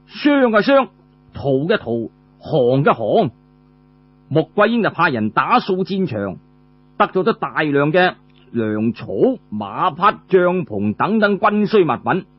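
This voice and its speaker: A man's voice: high voice, slightly rough, no echo, muffled recording. A man with a Cantonese accent telling a traditional tale, conversational and dramatic, drawing out his particles. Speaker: storyteller. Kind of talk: animated traditional storytelling narration.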